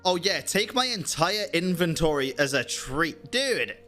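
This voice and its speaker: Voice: mid-range voice, big pitch swings, unhurried, pushed and bright, faint music behind. A game streamer giving casual, slangy live commentary with wry asides.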